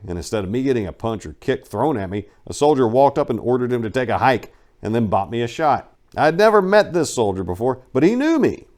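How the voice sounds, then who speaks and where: A man narrating a first-person memoir as a dramatic reading, with emphatic storytelling and some drawn-out phrases. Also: lowish voice, darkish tone. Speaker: narrator reading a book aloud.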